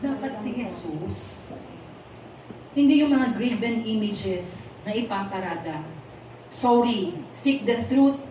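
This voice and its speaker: A woman's voice: a little high, slow, pauses often. A woman with a Filipino accent preaching conversationally in Tagalog and English, teasing and putting questions to her congregation. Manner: animated and teasing, puts rhetorical questions to the congregation. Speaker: preacher at a Sunday worship service. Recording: echoey hall from far off, noisy.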